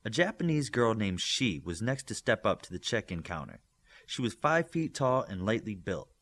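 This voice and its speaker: A man's voice: fairly low pitch, very animated, moderate pace, a bit bright. A man reading scripted story narration as an audiobook reader.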